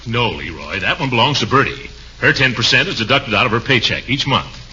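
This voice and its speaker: An actor playing a pompous, blustering middle-aged uncle in a 1940s radio comedy, full of puns and chuckles. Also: male voice, medium pitch, animated, brisk, sharp tone, a little hiss or hum. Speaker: comic lead of a radio sitcom. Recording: dull lo-fi 1940s radio recording.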